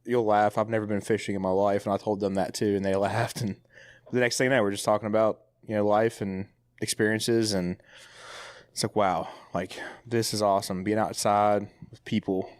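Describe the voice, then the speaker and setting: A man's voice: fairly low pitch, little pitch movement, moderate pace, dry recording, studio quality. A man of about thirty in a casual podcast chat in a lodge, laid-back and enthusiastic, with slangy talk. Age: 30s. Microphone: podcast mic.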